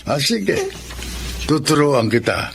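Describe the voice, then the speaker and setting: A man playing a kind, gentle old grandfatherly figure in a radio drama. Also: medium pitch, very animated, slow, gravelly, a little hiss or hum, exaggerated voice.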